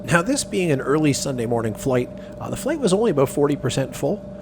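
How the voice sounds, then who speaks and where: A man's voice: a little high, sing-song, quick pace. A man narrating a travel vlog in a casual, scripted voice-over style. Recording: clear engine and cabin noise behind. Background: aircraft and vehicle hum.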